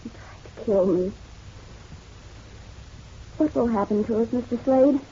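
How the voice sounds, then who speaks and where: An actress playing a distraught, guilt-ridden wife in a melodramatic radio drama, halting and emotional. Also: female voice, a little high, warm tone. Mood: anguished. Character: distraught, guilt-ridden married woman confessing to a detective. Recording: dull lo-fi radio drama, faint noise.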